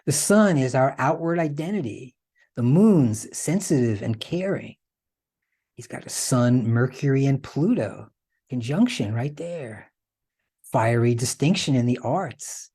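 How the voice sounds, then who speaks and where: Male presenter, informal and conversational explainer; highly animated intonation, mellow tone, slightly husky. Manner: conversational, checks in with rhetorical questions. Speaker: host and presenter.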